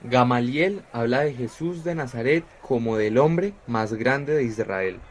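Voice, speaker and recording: An actor playing a devout young disciple in a radio drama, speaking fervently and sincerely. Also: male voice, medium pitch, expressive, a little slow.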